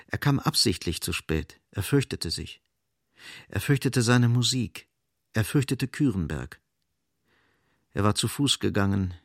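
Low, dry-sounding male narrator. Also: animated, dry recording. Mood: grave, insistent. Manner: deliberate, short emphatic sentences. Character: sober male literary narrator of a radio play. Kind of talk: scripted literary narration.

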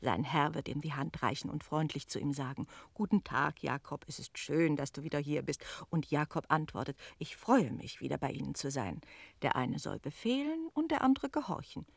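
Creaky-voiced, firm woman innkeeper. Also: low voice, expressive, moderate pace, no echo, heavily acted. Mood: firm, conciliatory. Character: chatty, authoritative woman innkeeper. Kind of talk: literary radio play dialogue.

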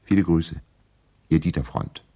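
A courteous lawyer and family friend in a seventies German radio drama. His voice is deep and mellow. He speaks formally and carefully, always trying to smooth things over. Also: highs cut off. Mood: earnest, hopeful. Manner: formal, measured, polite. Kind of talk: 1970s German radio play, letter monologue.

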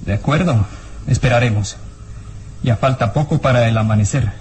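A man's voice: medium pitch, moderate pace, darkish tone, slight background noise, natural voice. A man playing a firm, impatient police inspector in a radio serial, formal and decisive, on an old recording. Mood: resolute.

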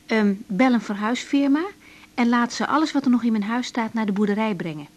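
High-voiced young woman, an actress in character. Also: fairly quick, a little mellow, close to an everyday voice. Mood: brisk, explaining. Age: young adult. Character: young woman doctor, grown daughter, breezy, decisive. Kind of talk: naturalistic 1980s radio drama acting.

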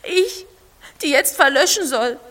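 A woman's voice: high-pitched, animated, unhurried, fairly bright tone. A woman playing a proud, sorrowful female android, reproachful and lofty, in a literary audio drama. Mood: proud, despairing. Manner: lofty, declamatory.

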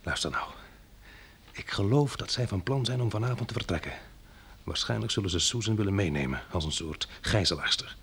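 An actor playing a shrewd farmer and husband in a Dutch radio drama, speaking in a measured, persuasive, slightly husky voice. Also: male voice, lowish voice, expressive, a bit bright, exaggerated voice. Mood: urgent, conspiratorial.

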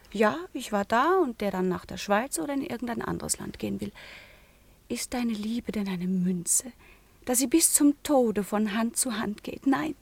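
An actress playing a proud, melancholy eighteen-year-old convent girl in a classical radio drama, with intense, declamatory delivery that often drops to a hush. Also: high voice, gravelly, dry recording, heavily acted. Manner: intense, declamatory, often dropping to a hushed whisper.

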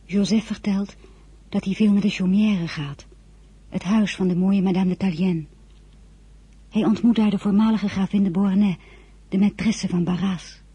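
Lovesick teen girl in a radio drama, really husky n breathy, mellow, earnest. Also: medium pitch, steady tone, moderate pace, pauses often, dry recording. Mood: wistful. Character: earnest, impulsive lovesick French girl of fifteen. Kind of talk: radio drama acting with diary narration.

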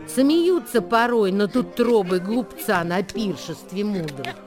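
Slow, expressive wise woman over music. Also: mid-range voice. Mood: solemn counsel. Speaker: actress reciting verse. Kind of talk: dramatic radio recitation of ancient verse.

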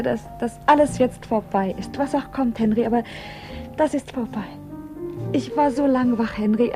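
An actress playing a young variety dancer in love, soft, tender and yearning, in an intimate German radio play. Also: female voice, fairly high pitch, close to an everyday voice. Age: young adult. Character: dreamy, yearning 22-year-old variety-show snake dancer. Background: music.

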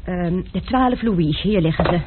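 An actor playing a young male gambler and duelist who talks dryly and mockingly, in a radio play. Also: high voice. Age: young adult. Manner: dry, mocking, unhurried. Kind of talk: radio drama acting. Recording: tinny lo-fi radio play recording with hiss.

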